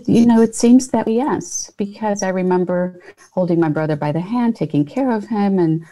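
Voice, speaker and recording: A woman's voice: mid-range voice, normal speed, mellow, rough recording. A woman in her fifties, from Poland, speaking earnestly as a guest entrepreneur in an interview, with many fillers and restarts. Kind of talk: conversational interview.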